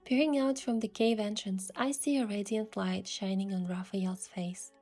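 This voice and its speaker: Soft, breathy, mellow-voiced young woman narrating. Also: medium pitch, fairly even intonation, normal speed, faint music behind. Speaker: narrator and heroine. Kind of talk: first-person romantic story narration.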